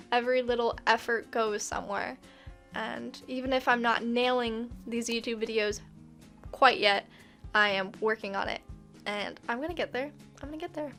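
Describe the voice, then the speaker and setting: A woman with a fairly high voice speaks candidly to her viewers as a video review host. Her delivery is earnest, casual and reflective. Faint music plays behind her. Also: fairly bright tone. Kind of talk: casual reflective talk to camera.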